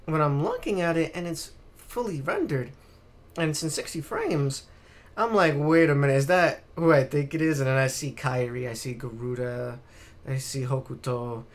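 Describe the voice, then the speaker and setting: A man speaks as co-host on a casual gaming show. He recounts things step by step like someone telling friends a story. His wording is informal and slangy, with some swearing. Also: fairly high pitch. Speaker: podcast co-host. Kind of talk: casual anecdotal gaming chat.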